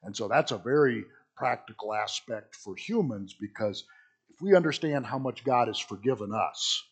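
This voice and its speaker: A middle-aged man, a pastor, speaks in a hoarse, husky voice left rough by a recent illness. He teaches Sunday school in a small church in an easy, joking way, full of asides and restarts. His pitch sits in an ordinary middle range for a man. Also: animated, normal speed.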